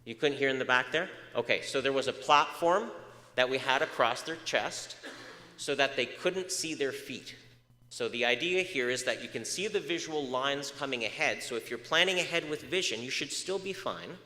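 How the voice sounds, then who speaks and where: A man's voice: medium pitch, moderate pace, bright, forceful tone. A man giving a public lecture, asking the audience questions, with many uh fillers and moments off the microphone. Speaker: research speaker. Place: large echoey lecture hall. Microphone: moves off mic at times. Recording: echoey hall reverb.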